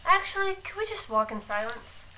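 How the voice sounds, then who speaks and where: A woman's voice: very high voice, sing-song, normal speed, sharp tone, heavily acted, low-quality recording. A woman playing an earnest, anxious android who insists she is alive, hesitant and guarded, in a sci-fi radio drama. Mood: subdued. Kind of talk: science fiction radio drama acting.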